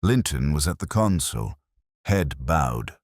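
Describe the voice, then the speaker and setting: A melancholy, introspective detective, played by a man, recounts a case in measured, reflective prose. The voice is extremely deep, yet it rises and falls expressively. The sound is dry and close, suited to scripted mystery narration. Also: sing-song, no room sound, heavily acted. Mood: brooding, reflective. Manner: measured, reflective, literary phrasing.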